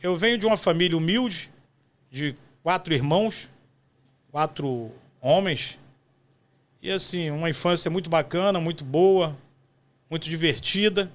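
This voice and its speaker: A man in his fifties speaks as a podcast guest. He has a Rio de Janeiro accent and a somewhat high voice for a man, and he answers questions in a conversational manner. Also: measured pace, pauses often. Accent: Brazilian, Rio de Janeiro. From West Zone of Rio de Janeiro, Brazil. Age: 50s. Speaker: podcast guest, postal union director. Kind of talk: conversational interview answers, reminiscing.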